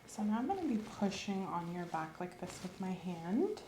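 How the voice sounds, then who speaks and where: A woman giving calm, slow, clinical instructions as a doctor in an ASMR roleplay, sometimes dropping into a whisper. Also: lowish voice, a little hiss or hum. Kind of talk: soft-spoken ASMR medical roleplay. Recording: roomy echo.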